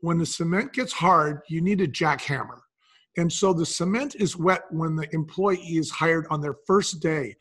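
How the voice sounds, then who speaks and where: A man's voice: fairly high pitch, moderate pace, a bit bright, slightly rough. A man talking conversationally as an interview guest, explaining things in an illustrative way. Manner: explanatory, acts out imagined quotes. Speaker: podcast guest, business founder.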